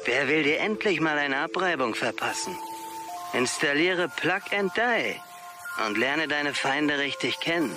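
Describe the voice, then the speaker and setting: An actor playing a taunting, over-the-top futuristic spam advertiser in a sci-fi audio drama. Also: male voice, fairly high pitch, lively intonation, unhurried, music in the background. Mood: taunting hype.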